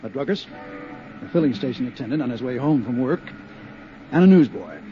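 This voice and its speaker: A man's voice: medium pitch, expressive, dark tone, natural voice. Guy playing a fussy methodical arson inspector, precise, muffled old radio with hiss. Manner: slow, precise, itemizing. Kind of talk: 1950s radio drama acting.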